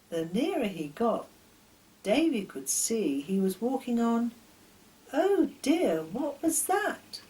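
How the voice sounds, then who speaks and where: A woman's voice: medium pitch, dark tone, low-quality recording. An older woman reading her own children's story as a narrator, expressively, posing questions to the listener.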